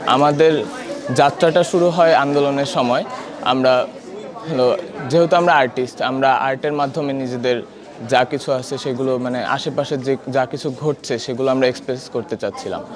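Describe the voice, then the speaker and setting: A man's voice: medium pitch, moderate pace. A male artist gives a conversational interview answer in short phrases. His mid-range voice has a Bangladeshi Bengali accent, with a busy crowd audible behind.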